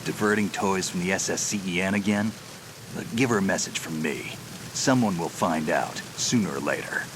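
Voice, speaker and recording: Low gravelly voice, male actor as grizzled wary soldier, terse and dry, video game cutscene, loud background noise. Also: normal speed, lightly acted. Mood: dry, guarded. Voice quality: gravelly, gruff. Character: grizzled, wary, gruff male special-operations veteran. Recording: heavy noise of rain and vehicles.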